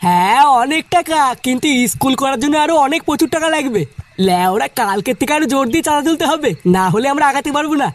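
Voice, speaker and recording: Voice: high voice, expressive, fast talker. A performer voicing a bossy young village club leader in a comic cartoon, businesslike and coaxing. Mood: exasperated.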